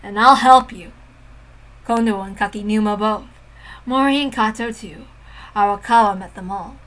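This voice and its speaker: A woman voicing a sinister, mocking tempter who speaks in a soft, creeping, taunting way. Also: mid-range voice, moderate pace, natural voice, poor audio. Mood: menacing.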